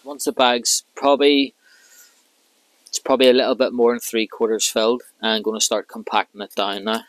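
A man in his thirties, a fishing vlogger, calmly explaining each step of his rig while working outdoors by a lake with a clip-on mic. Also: mid-range voice, moderate pace, no echo, low-quality recording. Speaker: carp angler vlogger. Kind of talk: casual how-to commentary.

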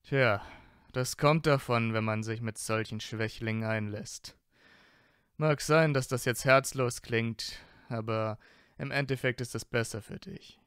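Guy acting as proud young wizard aristocrat, smooth clear voice, dry close sound, teasing audio drama banter. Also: mid-range voice, unhurried, a bit bright, no room sound, natural voice. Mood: scornful, advising.

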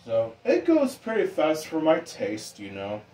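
A man giving a casual, informal hobbyist demonstration in an unhurried, rambling way. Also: fairly high pitch, huge pitch swings, mellow. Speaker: ceiling fan hobbyist vlogger. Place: indoor room with exposed pipes and ductwork.

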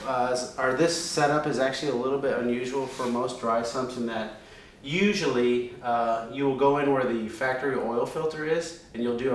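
A man's voice: medium pitch, recorded from a distance, a little hiss or hum. A man in his thirties casually explaining his race car's oiling system at a chalkboard, loose and conversational with many ums and restarts. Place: workshop room with a chalkboard. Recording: very echoey room, voice a bit off-mic.

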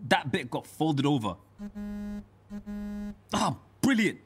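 An actress playing a harried, defensive bungler in a radio soap, grumbling along. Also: female voice, low-pitched, very animated, slow pace, soft background music, exaggerated voice. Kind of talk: radio soap opera acting.

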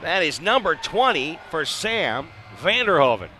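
A man calling live ice hockey play-by-play in clipped phrases, excitable when the action heats up. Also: a little high, unhurried, faint music behind. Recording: live arena broadcast with background noise.

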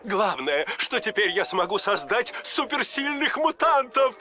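Gloating mad scientist villain. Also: male voice, high-pitched, big pitch swings, music in the background. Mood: scheming. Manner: gloating, bossy, drawn-out. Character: scheming arrogant male mad scientist villain. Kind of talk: video game dub.

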